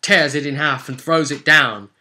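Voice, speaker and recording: A man's voice: fairly high pitch, moderate pace, bright, forceful tone. A man narrating a tabletop fantasy role-play session as game master, telling it dramatically and off the cuff in vivid, emphatic short phrases.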